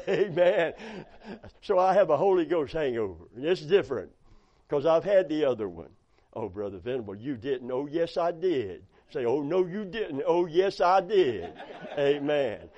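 A hoarse man preaching a sermon, telling folksy stories and calling out amen and hallelujah. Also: a little high, sing-song, unhurried, a little mellow. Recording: dull lo-fi sound.

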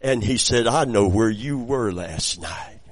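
Raspy preacher with a lively delivery. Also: male voice, fairly low pitch, a little slow. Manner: swings between quiet storytelling and loud exclamations. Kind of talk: sermon with personal anecdotes.

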